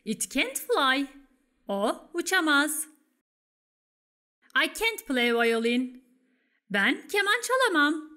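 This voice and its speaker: A woman's voice: high-pitched, very animated, slow pace, pauses often, pushed and bright. A Turkish woman narrating a scripted English lesson as a teacher, with careful, instructive enunciation.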